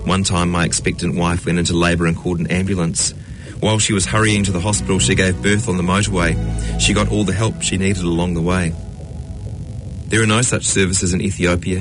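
Man, very low voice, measured earnest testimony, music underneath. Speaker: refugee telling his story. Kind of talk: scripted narration of personal testimony.

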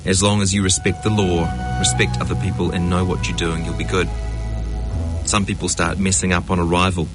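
Deep-voiced man, measured voice-over. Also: little pitch movement. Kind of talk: scripted narration. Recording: voice-over with music behind.

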